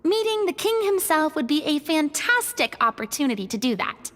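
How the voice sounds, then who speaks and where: A woman's voice: sits high, sing-song, moderate pace, a bit bright. A woman voicing a sensible, slightly wary young traveler in a video game, earnest and practical.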